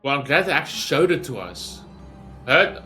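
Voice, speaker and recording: A man's voice: mid-range voice, big pitch swings, moderate pace, bright, forceful tone, soft background music. A man giving casual gameplay reaction commentary as a streamer, thinking aloud in questions and guesses.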